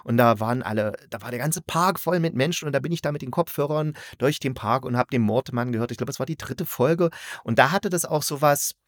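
A man's voice: medium pitch, a little fast, no echo, clean studio sound. A man hosting a casual review conversation, thinking aloud in a colloquial, rambling way. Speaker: podcast host and audio drama reviewer.

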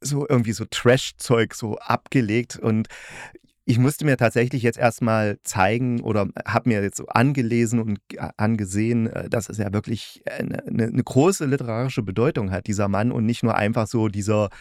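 Lower-voiced middle-aged man interviewing casually. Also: steady tone, moderate pace, darkish tone, no room sound, studio quality. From East Germany.

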